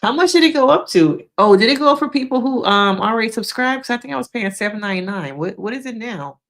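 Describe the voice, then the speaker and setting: A woman's voice: fairly high pitch, expressive, fast talker. A woman hosting a casual live stream, chatting frankly with viewers, laughing often, and losing her voice.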